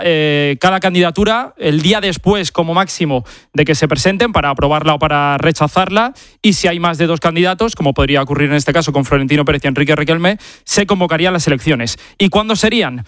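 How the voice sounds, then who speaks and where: High-voiced Spanish male radio reporter. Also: fast, fairly bright tone, no echo, studio quality. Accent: Spanish from Spain. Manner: methodical, explanatory. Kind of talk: live explanatory news report.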